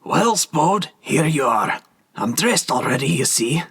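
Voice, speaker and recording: A man voicing a frail, vain old gentleman with a thin, reedy voice, fussing and repeating himself. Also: a little high, a little slow, creaky, husky, voice pushed up, heavily acted. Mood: pleased. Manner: anxious, fussy, self-flattering repetition.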